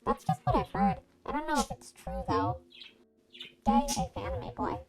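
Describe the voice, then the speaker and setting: A woman's voice: high voice, lively intonation, normal speed, creaky, background noise. A woman giving an amateur story reading, animatedly acting out messages as she goes, with music behind her. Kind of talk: amateur fan fiction reading.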